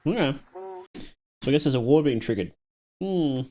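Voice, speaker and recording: A man's voice: mid-range voice, lively intonation, normal speed, warm tone. A man streaming live, reacting casually and thinking aloud while echoing lines back to himself.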